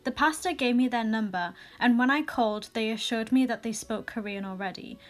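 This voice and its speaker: A young woman reading viewer stories aloud in a casual vlog, with sassy asides and quick restarts. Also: a little high. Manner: chatty, sassy asides, frequent laughs and restarts. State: recently sick.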